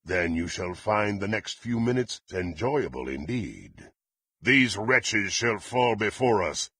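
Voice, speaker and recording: An actor playing a proud, menacing, bloodthirsty warrior in a fantasy video game, with a gravelly, husky voice and an imperious, threatening manner. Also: male voice, on the low side, bright, forceful tone, no room sound, full character voice. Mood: menacing, contemptuous. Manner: imperious, threatening, formal archaic phrasing.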